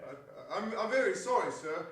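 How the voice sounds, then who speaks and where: A man's voice: high voice, sing-song, moderate pace, a bit bright. A man playing a meek, nervous, apologetic clerk in a theatrical stage reading, timid and pleading. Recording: echoey hall, distant microphone.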